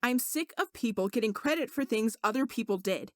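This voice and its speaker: Indignant young servant sorcerer acted by a woman, earnest, insistent, fairly high pitch, close dry sound, storybook fantasy. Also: animated, a little fast, no room sound, natural voice.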